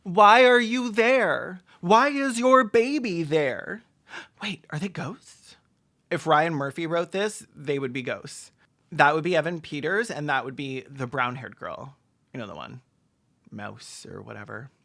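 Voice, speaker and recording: A snarky video essayist with a mid-pitched voice, doing comedic film commentary. The delivery is camp and deadpan, full of sarcastic asides. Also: unhurried.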